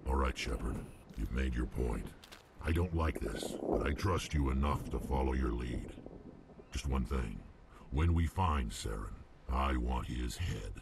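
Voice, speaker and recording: An actor playing a huge, proud, embittered alien warrior in a video game, with a gravelly, menacing growl. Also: male voice, expressive, slight background noise, full character voice. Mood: grudging. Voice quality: gravelly, rumbling. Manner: slow-burning, menacing. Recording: loud game music behind. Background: loud music.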